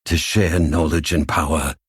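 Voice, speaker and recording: An actor playing a stern, proud elven lord in a fantasy game, with a rough-edged voice and a cold, weighty, formal delivery. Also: male voice, rumbling low, fairly even intonation, measured pace, no room sound, studio quality, heavily acted. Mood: stern resolve. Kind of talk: dramatic fantasy game acting.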